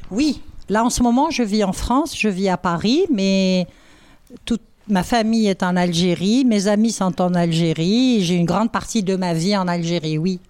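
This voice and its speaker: A woman in her sixties from Algeria, answering schoolchildren's questions in a classroom, thoughtful and halting with many pauses. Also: medium pitch, darkish tone, no room sound. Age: 60s. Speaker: women's rights activist answering pupils' questions.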